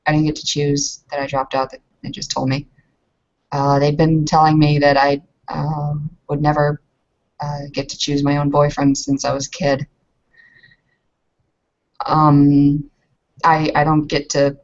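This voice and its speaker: A woman playing a proud, guarded young fighter in a tabletop game, talking in a halting, earnest way. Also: deep voice, darkish tone. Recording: muffled lo-fi voice recording.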